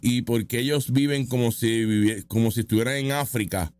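A man's voice: mid-range voice, a little fast, a little mellow, dry recording. A man giving casual, opinionated reaction commentary, mocking and full of rhetorical questions. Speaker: political commentator reacting to street interview clips.

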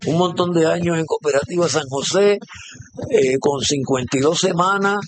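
A man's voice: a little high, very animated, normal speed, gravelly, background noise. A man with a Puerto Rican accent interviewing on site, friendly and enthusiastic, with many hesitant fillers. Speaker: interviewer, reporter.

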